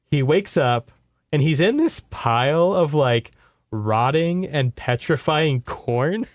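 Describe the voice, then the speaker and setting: A man's voice: on the high side, expressive, takes it slowly, dry recording, studio quality. A man hosting a podcast, retelling a book in casual, conversational chat and checking in with his listeners. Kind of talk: casual story recap chat.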